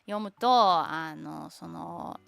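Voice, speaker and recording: A young woman whose first language is Tatar, chatting casually on a stream, halting and searching for words, with many fillers. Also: lowish voice, creaky, fairly bright tone, clear, resonant tone, dry recording. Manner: switches between Japanese and English. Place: lives in Japan.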